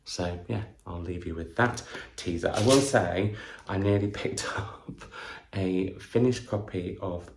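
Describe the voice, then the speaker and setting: A man talks casually to camera about his book haul in a deep voice with plenty of vocal fry. He is effusive and wanders into asides, often questioning himself as he goes. The recording has an audible roomy echo. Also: unhurried, background noise. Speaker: book vlogger, channel host.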